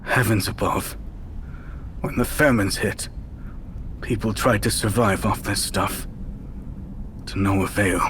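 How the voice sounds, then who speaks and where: An actor playing a thoughtful fortune-teller in a historical Chinese game drama, with a measured, deliberate delivery. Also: male voice, lowish voice, fairly even intonation, a bit bright, a little hiss or hum. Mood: somber. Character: thoughtful fortune-teller man in a heist crew.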